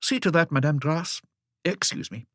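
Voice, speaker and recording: A man voicing an exhausted hospital surgeon in an audiobook, brisk and businesslike. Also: on the high side, fairly bright tone, no room sound, studio quality, voice pushed up, lightly acted. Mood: brisk, weary. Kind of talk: audiobook character voice.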